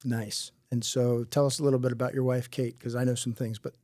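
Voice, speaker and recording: A man's voice: medium pitch, level tone, fairly quick, a little mellow, no room sound, studio quality. A middle-aged man hosting a podcast interview as a fire chief, asking questions in a conversational, upbeat way.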